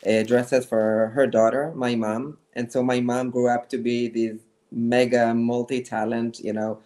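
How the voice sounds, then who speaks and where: Halting, reflective man telling his story. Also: mid-range voice, level tone, a little mellow. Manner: halting, many fillers and restarts, reflective. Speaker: guest, fashion designer. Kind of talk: interview storytelling.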